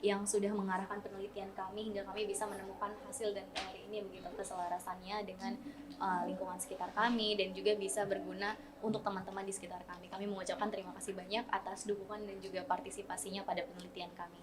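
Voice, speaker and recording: A woman's voice: medium pitch, level tone, fast talker, background noise. A teenage girl from South Sumatra, a student researcher explaining her study fluently in a podcast interview. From Palembang, South Sumatra. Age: teens. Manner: fluent, explanatory, ends phrases with "gitu".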